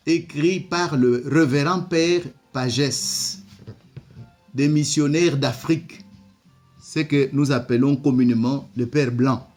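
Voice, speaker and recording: A man's voice: on the high side, a little mellow. A man, not a native French speaker, giving commentary and reading from an old document, hesitant with many repeated words. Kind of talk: slow spoken commentary reading from a book.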